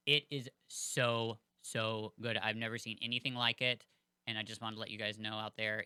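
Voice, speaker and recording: A man rambles through casual podcast chatter, dropping fillers as he goes. His voice is strikingly bright and smooth, recorded close and dry. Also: fairly low pitch, dry recording. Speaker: podcast co-host.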